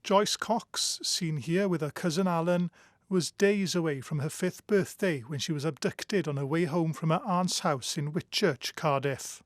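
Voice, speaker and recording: A male reporter narrates a scripted news story. His voice is noticeably high for a man, and the sound is dry and close. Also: measured pace, no echo.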